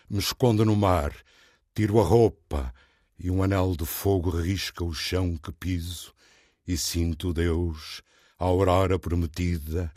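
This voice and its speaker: A man, a poet reciting his own verse in a solemn, declamatory manner with deliberate pauses. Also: low voice, a little mellow, no room sound, studio quality. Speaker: poet reading own work. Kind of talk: poetry recital.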